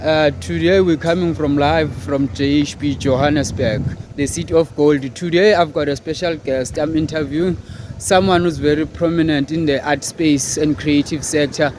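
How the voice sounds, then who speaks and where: A man's voice: on the high side, fairly even intonation, normal speed. A man, hosting a casual interview and chiming in with friendly, brief affirmations. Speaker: interviewer, host. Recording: long reverb, faint noise, vehicles.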